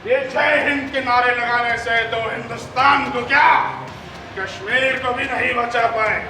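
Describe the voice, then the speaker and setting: Far from the mic in an echoey, noisy space, a man acts a cruel soldier on stage. The voice is very high and gravelly, with slow, mocking menace. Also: steady tone. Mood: scornful. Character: gloating, sadistic male enemy soldier taunting a captive. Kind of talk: amateur stage play acting in Hindi. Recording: distant echoey stage recording, clear background noise.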